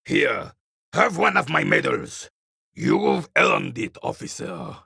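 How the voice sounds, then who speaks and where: Gravelly, bitter old royalist veteran. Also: male voice, mid-range voice, big pitch swings, takes it slowly, sharp tone, dry recording, studio quality, exaggerated voice. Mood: gruff, proud. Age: 80s. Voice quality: gravelly, rasping, weathered. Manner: curmudgeonly, haughty, formal military speech. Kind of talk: video game character dialogue.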